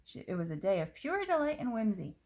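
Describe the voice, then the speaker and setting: Female children's storyteller: expressive read-aloud, very lively pitch, touches of vocal fry. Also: brisk, slight background noise. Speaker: storyteller reading a picture book.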